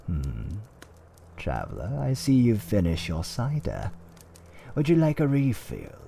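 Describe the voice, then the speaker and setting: Male actor as fantasy tavern keeper, very low creaky voice, slow hushed dramatic fireside storytelling, faint ambience. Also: huge pitch swings, a little hiss or hum, heavily acted. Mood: genial, sly. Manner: hushed, dramatic, deliberate, often dropping to whispers. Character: fantasy tavern owner, genial host telling dark tales. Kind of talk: fireside roleplay ASMR storytelling.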